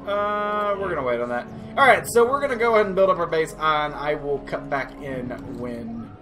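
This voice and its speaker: A man's voice: fairly high pitch, lively intonation, normal speed. A man giving casual, rambling let's-play commentary, breaking off to talk to the units in his game. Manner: rambling, self-interrupting, talks to game units. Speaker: video game playthrough host. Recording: game music behind the voice. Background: video game music and sound effects.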